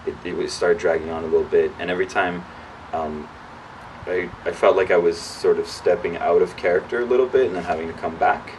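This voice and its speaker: A man's voice: low voice, a little fast. A young adult man, an actor, chatting casually in an interview and telling stories with lots of restarts and fillers. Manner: lively storyteller, acts out his own past lines. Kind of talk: casual chat. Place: indoor sit-down interview. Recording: distant mic with clear background hum.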